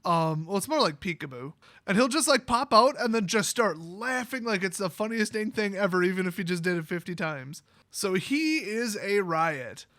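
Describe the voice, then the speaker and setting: An American man telling personal stories in a casual, rambling vlog style, animated and prone to exaggeration. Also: sits high, normal speed, no room sound, studio quality. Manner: animated, exaggerating, quotes people in acted-out voices. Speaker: YouTube vlogger.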